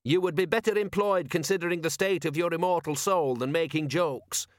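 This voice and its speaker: A man's voice: high-pitched, expressive, moderate pace, a bit bright, no echo, studio quality, pitched above the performer's own voice. A man voicing a severe, zealous religious constable in an audiobook, speaking in an earnest, formal, preachy way.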